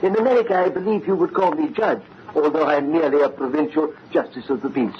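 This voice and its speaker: An actor playing a genial, skeptical British country justice in an old-time radio drama. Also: male voice, a little high, quick pace, natural voice. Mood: amused. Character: genial but skeptical English country magistrate. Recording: muffled, faintly noisy old radio recording.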